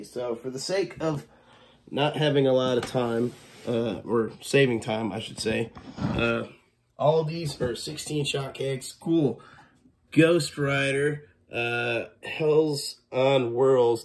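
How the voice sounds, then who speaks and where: A young man with a congested, coughing voice, speaking in a laid-back, profane way. He talks to a camera set on a table in a small home kitchen, with faint noise in the background. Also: medium pitch. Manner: laid-back, swears freely, scolds his cat. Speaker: fireworks vlogger. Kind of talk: casual unboxing vlog.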